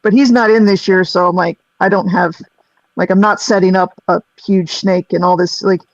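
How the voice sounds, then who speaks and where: A woman with a low voice, a singer who also runs live sound, chatting loosely on a group call with lots of restarts. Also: fairly even intonation, moderate pace, dry recording, muffled recording. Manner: rambling, restarts, self-deprecating laughs. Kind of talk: casual group video-call chat.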